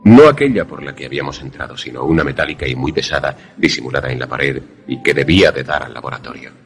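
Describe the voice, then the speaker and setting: An actor playing a haunted, lovelorn former student who narrates a suspense radio drama in a solemn, measured, dramatic way. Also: male voice, extremely low pitch, lively intonation, gravelly, soft background music, heavily acted. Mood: solemn, suspenseful.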